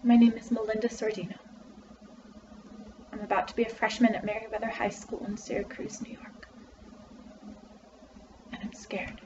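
A woman playing a frightened, withdrawn teenage girl in a quiet, halting dramatic monologue from a novel. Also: on the high side, little pitch movement, recorded from a distance, lo-fi sound, noisy background, natural voice. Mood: scared, dejected. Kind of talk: character monologue.

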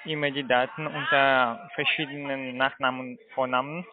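A man's voice: mid-range voice, little pitch movement, moderate pace. Insistent man on a phone line. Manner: insistent, repetitive questioning. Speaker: call center scam caller. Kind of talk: phone conversation.

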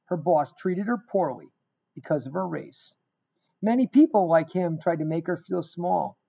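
A middle-aged man reading a rhyming picture book aloud in an expressive, emphatic storytelling manner. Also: a little high, a little slow, vocal fry, slightly rough. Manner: emphatic, dramatic storytelling with repeated phrases. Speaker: guest reader of a children's book. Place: small indoor room. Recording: dull, lo-fi webcam sound.

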